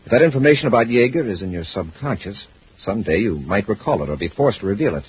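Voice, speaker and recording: An actor playing a brisk, commanding space patrol commander in 1950s radio drama style, authoritative and clipped. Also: male voice, lowish voice, sing-song, slightly rough, heavily acted. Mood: firm, reassuring. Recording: muffled vintage radio recording.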